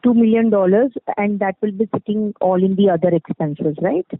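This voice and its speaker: A woman's voice: medium pitch, moderate pace, dry recording. A woman with an Indian accent asking business questions as an analyst on a phone call, in a hesitant, rambling way. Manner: hesitant, rambling phrasing with frequent "you know". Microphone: telephone handset. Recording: tinny narrow phone line.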